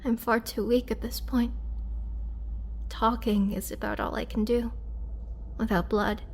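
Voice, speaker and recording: A woman playing a weakened, imprisoned vampire in a roleplay audio drama, gentle and polite, speaking hesitantly with soft, whispery breath and a pleading earnestness. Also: fairly high pitch, level tone, measured pace, slight background noise. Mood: weak, pleading. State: weak, drained. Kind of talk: girlfriend roleplay audio drama, intimate acting.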